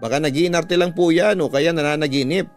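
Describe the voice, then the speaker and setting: A man's voice: on the high side, a little fast, soft background music, close to an everyday voice. A Filipino man voicing a young daughter who protests defensively in a radio story. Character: young daughter defending herself to her mother.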